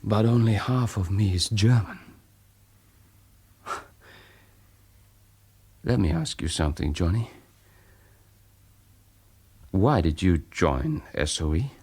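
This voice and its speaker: An actor playing a reflective, persuasive half-German former wartime spy in a radio drama, speaking deliberately and haltingly. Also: male voice, low-pitched, expressive, a little mellow, husky, exaggerated voice. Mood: probing. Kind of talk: naturalistic radio drama acting.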